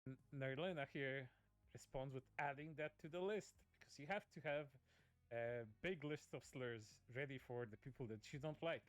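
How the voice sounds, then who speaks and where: A man's voice: mid-range voice, moderate pace, no echo. A man narrating a commentary video, reading out posts in a dry, sardonic way with some "uh" fillers. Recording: video audio played on stream with faint music. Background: faint background music.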